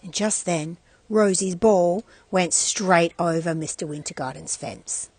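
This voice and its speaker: A woman reading a children's story aloud as an expressive narrator. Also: fairly low pitch, measured pace, no echo. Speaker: storybook reader.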